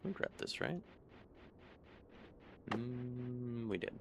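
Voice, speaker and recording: A man's voice: medium pitch, extremely slow, background noise. A young man with a Michigan accent, chatting casually with his stream chat while playing a game. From Michigan, USA. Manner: relaxed, musing, talks to chat between game moves.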